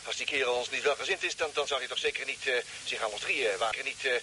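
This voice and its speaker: An actor playing an excitable, urgent space explorer in an old-fashioned radio science-fiction drama. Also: male voice, mid-range voice, quick pace, noisy background, natural voice. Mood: nervous. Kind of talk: 1950s radio drama acting.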